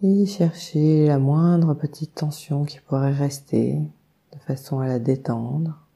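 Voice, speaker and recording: Very mellow, slow-paced woman guiding meditation. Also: sits low, vocal fry. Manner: calm, soothing, unhurried with long pauses. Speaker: yoga nidra meditation guide. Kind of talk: guided meditation, slow instructions.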